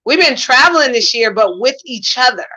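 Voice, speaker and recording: A woman's voice: on the high side, animated, poor audio. A woman hosting a casual interview, chatting and laughing often with her guest over a video call. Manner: warm, laughs often, drawn-out speech. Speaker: host.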